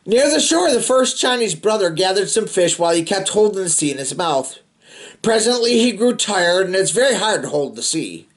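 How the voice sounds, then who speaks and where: A man's voice: high-pitched, big pitch swings, normal speed. A man hosting a children's read-aloud, telling a picture-book story expressively with playful, chatty asides to young listeners. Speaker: storybook reader, host of a read-aloud show.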